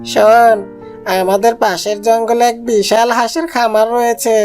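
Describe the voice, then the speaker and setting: A woman voicing a scheming father fox in a cartoon story, speaking to his cub in a deliberate, parental, explaining manner. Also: on the high side, little pitch movement, darkish tone, faint music behind, natural voice. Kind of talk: cartoon animal story dialogue.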